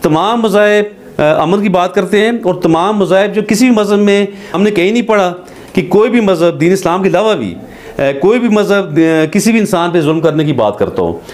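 A middle-aged man with a Pakistani Urdu accent speaks in a voice pitched slightly high for a man. He delivers studio commentary to camera in an earnest, measured way, stopping often on hesitant fillers. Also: expressive, a little mellow. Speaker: advocate commentator. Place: studio with green screen backdrop.

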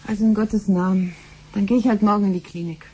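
An actress playing a doubtful, reluctant wife in a 1970s radio play, musing and hesitant. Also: female voice, mid-range voice, fairly even intonation, fast, darkish tone, slightly rough, natural voice, rough recording. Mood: doubtful, resigned.

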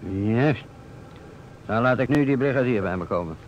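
A man's voice: mid-range voice, animated, moderate pace, lightly acted. An actor playing a dry, probing police inspector, a man with a curt questioning manner, in an old radio play. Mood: dry, resigned. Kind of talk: vintage Dutch radio play acting. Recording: dull, noisy old radio recording.

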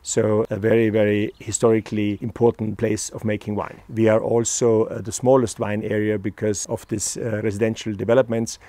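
Casting note: middle-aged Austrian-accented man, calm and halting delivery, dry close sound. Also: lowish voice, no room sound. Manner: measured, frequent "uh" pauses. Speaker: wine estate proprietor. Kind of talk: presenting a virtual wine tasting. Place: outdoors on a hilltop above a lake.

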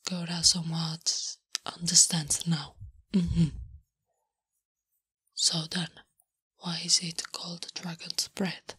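A woman voicing a big, blunt, smitten and naive female orc in whispered, halting broken English for an ASMR roleplay. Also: on the low side, flat delivery, bright, forceful tone. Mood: puzzled, curious. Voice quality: breathy, whispery. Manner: halting broken English, speaks of herself in third person. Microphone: close-up ASMR microphone. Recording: close, intimate microphone.

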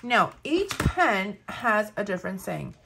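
A woman's voice: mid-range voice, very animated, takes it slowly, vocal fry, background noise. A woman in her thirties with a Spanish accent, chatting casually and gushing over planner products, with lots of fillers. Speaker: planner vlogger. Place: indoors at a table, overhead camera on hands.

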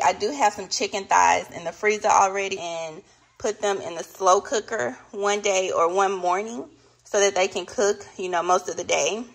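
A woman's voice: mid-range voice, husky, rough recording. A woman in her thirties from the American South with a Southern accent, chatting casually to camera while showing her groceries. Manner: chatty, says "y'all" often. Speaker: vlogger. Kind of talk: casual grocery haul chat.

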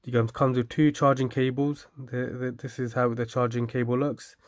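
A man casually demonstrating and reviewing a product, speaking haltingly as he lists features and repeats himself. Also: medium pitch, little pitch movement, no echo, clean studio sound.

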